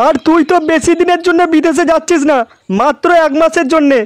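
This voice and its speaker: A man voicing a scolding, long-winded old father in a comedy cartoon, with a pitched-up voice. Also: fairly even intonation, quick pace, dry recording, studio quality, soft background music. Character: nagging, scolding old village father, comically crude.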